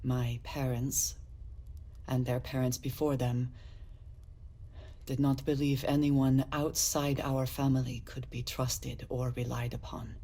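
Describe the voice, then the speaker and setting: A woman voicing a guarded, solemn space explorer from a hard upbringing in a video game, speaking formally and deliberately. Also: a bass voice, flat delivery, mellow, heavily acted. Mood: grave, reflective. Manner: earnest, formal diction, deliberate. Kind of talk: measured dramatic game dialogue.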